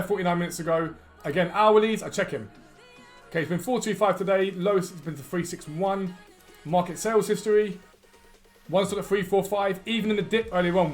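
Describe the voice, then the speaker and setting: A man with a slightly hoarse voice gives a casual, quick gaming tutorial, reeling off prices in runs. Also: sits high. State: losing his voice. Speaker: gaming video creator. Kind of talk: screen-recorded gaming tutorial.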